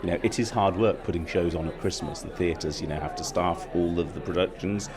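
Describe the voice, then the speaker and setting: A man's voice: sits low, fairly even intonation, brisk. A man giving an upbeat interview as a company executive. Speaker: interview guest, joint chief executive of a theatre company. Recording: busy crowd chatter behind voice. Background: event crowd.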